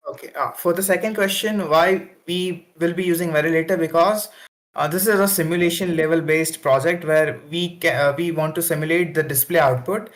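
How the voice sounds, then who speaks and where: A man explaining a technical choice in an online meeting, halting and full of fillers. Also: fairly high pitch, steady tone, fairly bright tone. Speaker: mentee presenting a project.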